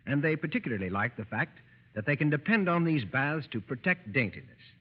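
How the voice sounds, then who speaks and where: A man delivering an advertising pitch as a 1940s radio announcer. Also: slightly rough. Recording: muffled, reverberant vintage radio recording.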